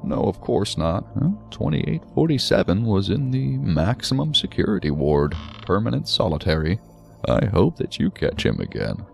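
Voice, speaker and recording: An actor playing an earnest, hesitant psychiatrist who explains things in wordy, precise clinical terms in an audio drama. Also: male voice, sits low, big pitch swings, moderate pace, vocal fry, faint music behind, heavily acted. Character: talkative, earnest, hesitant male psychiatrist answering investigators. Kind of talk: science fiction audio drama acting.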